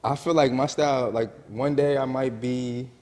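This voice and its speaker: A man's voice: medium pitch, fairly even intonation, normal speed, darkish tone. A man from New Jersey, a brand owner and stylist, talking casually and hesitantly, with many fillers. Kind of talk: casual interview chat. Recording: long reverb in the room.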